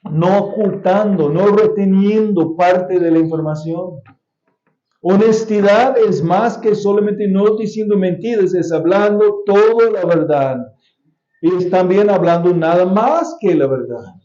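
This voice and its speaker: Guy preaching, high-ish voice but mellow, slow and careful, echoey church, kinda dull sound. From United States. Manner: deliberate preaching with repeated key phrases and occasional restarts. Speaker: pastor. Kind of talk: sermon. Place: church sanctuary.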